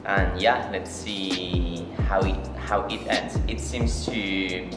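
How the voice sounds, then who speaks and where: Slow, deep-voiced young man. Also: fairly even intonation. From Spain. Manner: slow, hesitant, searching for words. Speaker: interviewee. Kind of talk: casual interview answers. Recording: roomy sound over background music.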